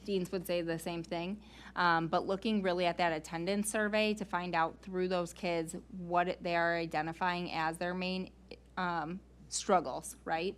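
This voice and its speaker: A woman's voice: fairly low pitch, steady tone, unhurried, smooth. A woman speaking as a school staff member in an informal, explanatory meeting presentation, earnest and given to rhetorical questions.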